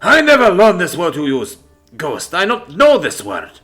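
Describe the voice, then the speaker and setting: A man voicing a wary, haunted military officer with a croaky voice and halting, broken English in a Greek accent. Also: mid-range voice, sing-song, sharp tone, faint music behind, full character voice. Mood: angry, defensive. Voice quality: croaky from disuse. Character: wary, haunted stranded male major, gruff and suspicious.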